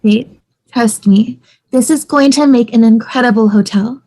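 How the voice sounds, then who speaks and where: A woman's voice: fairly high pitch, a little slow, warm tone, rough recording. A woman playing an enthusiastic, casual young wife in a comedy script read. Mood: upbeat. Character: upbeat young wife excited about inherited house.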